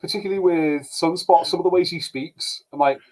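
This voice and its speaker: A man with a slightly high voice chats as a podcast co-host. He is animated and sweary, joking his way through casual pop-culture talk and dropping into mock voices. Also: brisk, poor audio. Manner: animated, jokey, sweary, slips into mocking mimicry.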